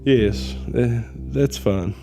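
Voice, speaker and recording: An actor playing a deferential, secretive mortician in a radio drama, speaking with hesitant, deliberate politeness. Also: male voice, medium pitch. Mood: careful, businesslike. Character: reserved male mortician, deferential, weary and secretive. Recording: music behind the voice.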